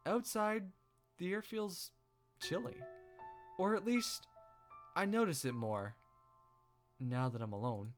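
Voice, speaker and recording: A man reading a visual novel aloud as a let's play host, with expressive storytelling narration. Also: sits high, slow pace, long pauses, faint music behind.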